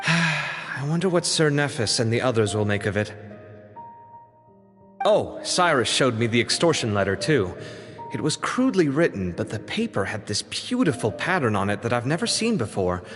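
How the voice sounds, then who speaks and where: A friendly, talkative young man in an anime game. His pitch is lively and animated, with a slightly fretful, expressive delivery. Music plays behind him and the sound carries a long reverb. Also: moderate pace. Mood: intrigued. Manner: expressive, conversational, easily startled. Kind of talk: anime video game voice acting.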